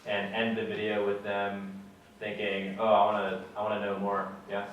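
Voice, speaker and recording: A young man giving a casual talk to a student audience, relaxed and plain-spoken with many fillers. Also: lowish voice, moderate pace, a little hiss or hum. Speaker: guest speaker. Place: lecture room with audience. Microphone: far camera. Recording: echoey room from far off.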